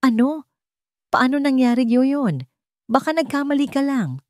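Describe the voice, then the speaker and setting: A woman's voice: high voice, animated, a little slow, no room sound, studio quality, voice pushed up. A woman with a Filipino accent voicing an earnest, caring older sister with a direct, questioning manner in an audio story. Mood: surprised.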